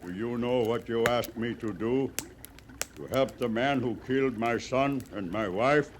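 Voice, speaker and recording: A man's voice: mid-range voice, unhurried, lightly acted. An actor playing a weary, proud Cheyenne band leader and bereaved father in a radio western, speaking solemnly in formal, simple phrases. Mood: bitter, resolved. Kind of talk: 1950s radio western acting. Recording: noisy vintage radio western recording.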